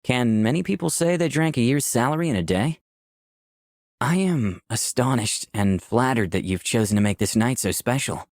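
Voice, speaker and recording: A man's voice: mid-range voice, moderate pace, a little mellow, dry recording, studio quality, close to an everyday voice. A man voicing a candid, easygoing young protagonist who narrates a visual novel in the first person. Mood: impressed, polite. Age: young adult. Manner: clear scripted storytelling.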